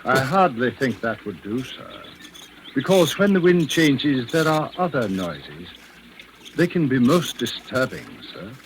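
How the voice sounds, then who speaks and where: An actor playing a deferential, slyly menacing manservant in a 1940s radio drama, speaking in a formal, measured, obsequious way. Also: male voice, medium pitch, sing-song. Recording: noisy vintage 1940s radio recording.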